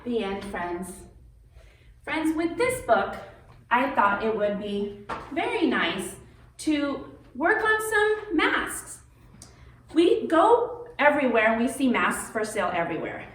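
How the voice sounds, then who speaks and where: A woman's voice: on the high side, sing-song, slow pace, pauses often, a bit bright, a little hiss or hum. A woman storyteller talking to young children in simple, friendly, step-by-step instruction. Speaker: children's storyteller and craft presenter. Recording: very echoey room, distant mic.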